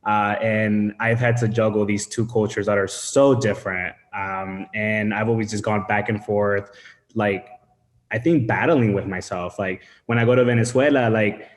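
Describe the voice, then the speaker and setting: A man taking part in an online meetup, speaking in excited, heartfelt bursts. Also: lowish voice, moderate pace, in a large hall. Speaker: meetup participant. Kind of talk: casual group video chat.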